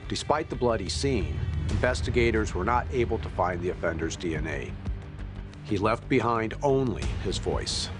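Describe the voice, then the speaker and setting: A man with a fairly low voice hosts a documentary as an investigator. He narrates and interviews over a clearly present music bed. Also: lively intonation, moderate pace. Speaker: host and investigator.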